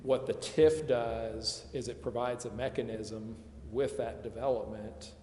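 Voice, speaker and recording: A man's voice: mid-range voice, steady tone, a little slow, dark tone. A man explaining things at a public meeting as an adviser, hedging and repeating himself as he goes. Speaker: presenter advising a city committee. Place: council chamber. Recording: echoey meeting chamber, faint noise.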